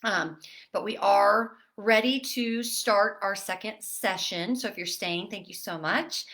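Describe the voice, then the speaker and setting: A woman fitness instructor leading a seated online exercise class, chatty with fillers, then giving calm breathing cues. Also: mid-range voice, unhurried. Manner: chatty and apologetic, with many fillers. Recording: roomy echo, distant from mic.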